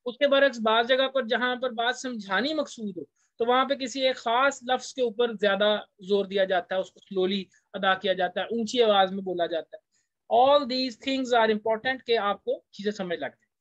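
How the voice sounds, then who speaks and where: A man's voice: very high-pitched, fairly bright tone, dry recording. Man teaching, dull lo-fi recording. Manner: explanatory, slows down when reading English phrases. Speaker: teacher lecturing online. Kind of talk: informal lecture, reading English text and explaining it.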